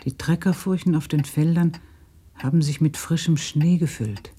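A man's voice: a little high, steady tone, normal speed, warm tone, breathy edge. An actor playing a sober, subdued village man who describes daily life plainly in a literary radio play.

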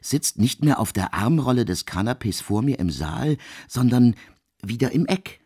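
An actor playing a proud, brooding intellectual man in a German radio drama, with formal and deliberate archaic diction. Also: medium pitch, lively intonation, a little mellow, breathy edge. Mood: wary narration.

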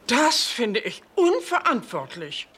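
A woman's voice: fairly high pitch, big pitch swings, takes it slowly, a bit bright, slightly rough, heavily acted. An older woman playing a tactless, prying mother in a film comedy, prim, insinuating and rambling, on an old soundtrack. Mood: prim disapproval.

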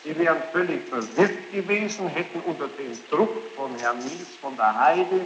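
An archival tape from a big, very echoey room, heavy with noise. A man with a fairly high voice conducts a formal interrogation. He presses insistently, in long, halting sentences. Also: expressive, muffled recording. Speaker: interrogator questioning a witness. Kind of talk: formal courtroom-style questioning. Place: large reverberant room. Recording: noisy echoing archival tape of hearing.

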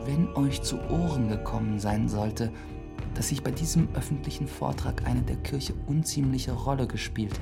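An actor playing an earnest, deferential priest who narrates a formal letter in a radio drama. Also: male voice, mid-range voice, gravelly. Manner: formal, measured, humble.

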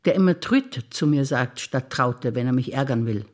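An older woman, a stage actor reciting memories in a measured, playful theatre piece. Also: a bass voice, lively intonation, a little mellow.

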